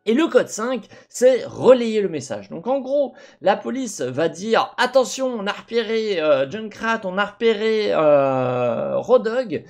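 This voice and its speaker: Young male gaming host, headset mic, faint game music underneath, animated delivery. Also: high-pitched, a little slow, creaky, few pauses. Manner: animated, talks with his hands, many fillers and restarts. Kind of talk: commentary over gameplay. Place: home room with gaming chair.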